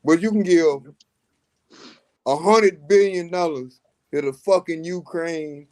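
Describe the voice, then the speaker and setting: A man with a somewhat high voice talks heatedly about politics in casual chat, swearing and stretching out his points for emphasis. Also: a little mellow. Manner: heated, profane, drawn-out emphasis.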